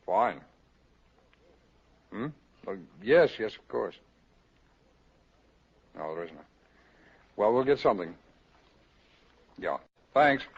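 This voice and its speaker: A methodical detective in a vintage radio play. The voice is very low and rough-edged, with a firm, courteous, formal delivery. The old recording dulls the sound. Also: male voice, lively intonation, slow, long pauses, dry recording, highs cut off, heavily acted. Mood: businesslike. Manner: matter-of-fact, formal. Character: businesslike male lawman, firm, methodical, courteous interrogator. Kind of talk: 1940s radio acting.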